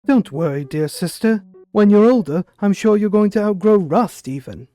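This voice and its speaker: A woman as a dark-toned, formal protective sibling. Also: mid-range voice, lively intonation, faint music behind, close to an everyday voice. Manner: formal, measured, stately. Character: formal, protective older sibling, stern and composed.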